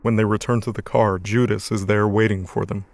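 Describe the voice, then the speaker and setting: A man's voice: fairly low pitch, level tone, brisk, gravelly, no echo. A man narrating an audio drama in a scripted, dramatic storytelling style.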